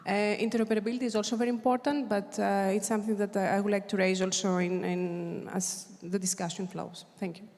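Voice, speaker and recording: A woman panelist delivers prepared points at a policy conference, often pausing with fillers and restarting phrases. Her voice sits at an ordinary pitch and carries a long reverb from the hall. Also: level tone, darkish tone. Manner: hesitant, many fillers and restarts.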